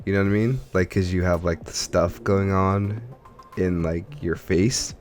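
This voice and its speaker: A man's voice: sits low, fairly even intonation, measured pace, soft background music. A man hosting a casual gaming podcast, chatting while congested from allergies. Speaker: podcast host.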